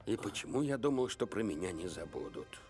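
A man voicing an older, grumpy but kind-hearted pastry baker in an animated film, gruff and a little hesitant. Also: low-pitched, brisk, music in the background. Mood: doubtful. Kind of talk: animated film dub acting.

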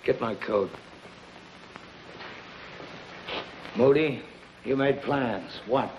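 An actor playing a terse, cold-blooded gangster on the run in a hard-boiled 1950s television crime drama. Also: male voice, mid-range voice, a little slow, lightly acted. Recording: muffled, hissy 1950s TV soundtrack.

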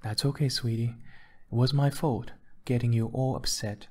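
A man voicing a wealthy, glamorous wife who is breezy, touchy and charming, gushing and quick to defend herself in an audiobook story. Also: medium pitch, fairly even intonation, natural voice. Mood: apologetic. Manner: gushing, defensive, pet names for husband.

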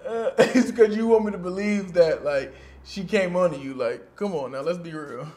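A man's voice: high-pitched, darkish tone, natural voice. A man playing a sassy, dramatic best friend who feels betrayed, in a comedy skit. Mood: teasing. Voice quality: sassy, theatrical, drawn-out delivery.

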